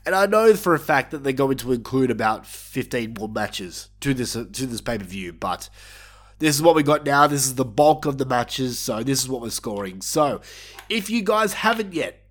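A man with a blocked nose hosts his show, so his voice comes out thick and nasal. His pitch sits in the middle for a man. He talks casually and rambles a little, with fillers and restarts. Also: very animated, moderate pace, a bit bright. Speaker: podcast host. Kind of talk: casual solo show chat.

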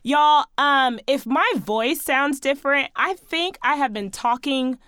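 Sassy chatty woman hosting her podcast, bit hoarse, higher voice, dry close mic. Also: slow pace, fairly bright tone, dry recording. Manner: sassy, chatty, playful asides to listeners. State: slightly hoarse, losing her voice. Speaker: podcast host. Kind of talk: casual solo podcast chat.

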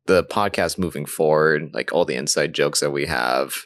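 A man's voice: a bass voice, normal speed, fairly bright tone, no echo. A man co-hosting a podcast in casual, friendly conversation. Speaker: podcast co-host. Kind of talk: casual chat.

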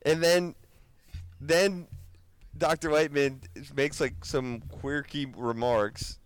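A man's voice: on the high side, fairly even intonation, slow pace, smooth. A man co-hosting a casual podcast chat from his car, sweating in the sun, laughing and doing squealing impressions as he talks. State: sweating in the heat. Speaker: podcast co-host.

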